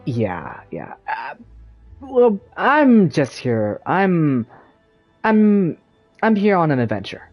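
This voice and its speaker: A man playing a flustered, hesitant young adventurer in a game, stammering and halting, with a husky voice. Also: fairly high pitch, huge pitch swings, heavily acted. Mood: nervous. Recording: dull, lo-fi microphone with faint music.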